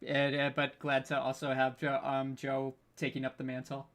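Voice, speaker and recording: Halting, bright-voiced panel host. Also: mid-range voice, completely flat delivery. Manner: halting, stammering, repeats word beginnings. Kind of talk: casual panel chat. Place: online group voice call.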